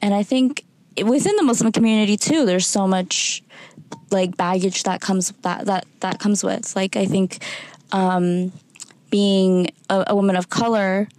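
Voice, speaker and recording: A woman talking candidly and informally in a conversation, thinking aloud in halting, reflective phrases. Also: mid-range voice, a little mellow, dry recording.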